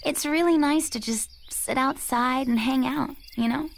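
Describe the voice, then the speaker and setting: High, creaky-edged, easygoing florist. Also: female voice, unhurried, a little hiss or hum. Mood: content, dreamy. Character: gentle, cheerful young human florist, friendly and dreamy. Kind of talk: animated video game acting.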